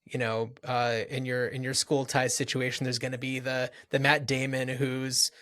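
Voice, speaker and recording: A man's voice: mid-range voice, level tone, normal speed, pushed and bright. A man co-hosting a podcast, discussing movies casually and thinking aloud in a loose, conversational way. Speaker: podcast co-host.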